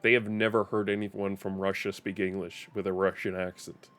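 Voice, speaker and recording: A man with a Danish accent and a fairly low voice chats his way through a game on a live stream. He is a native Danish speaker, laughs a lot, and stumbles and repeats words as he restarts his sentences. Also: little pitch movement, moderate pace, fairly bright tone. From Denmark. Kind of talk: casual live-stream gaming commentary.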